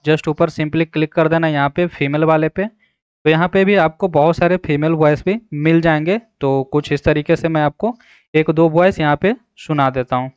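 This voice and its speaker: Smooth, higher-pitched man giving a tutorial. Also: fairly even intonation, moderate pace, no room sound, dull audio. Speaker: tutorial host. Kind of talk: casual screen-recorded app tutorial narration.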